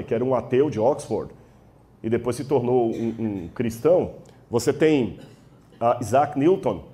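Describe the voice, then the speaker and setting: A Brazilian man speaks as a debater in a rhetorical, lecturing style. His voice is mellow and mid-pitched. He is emphatic and explanatory, often checking that listeners follow.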